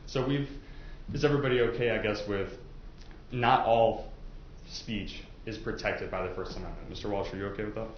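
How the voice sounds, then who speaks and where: A low-voiced man works through courtroom questioning in a loose, conversational style, hedging and restarting as he explains. The room is echoey, the sound is slightly dull, and there is faint noise behind him. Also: fairly even intonation. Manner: halting, conversational, hedging with "I guess" and "you know". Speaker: lawyer questioning prospective jurors. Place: indoor courtroom.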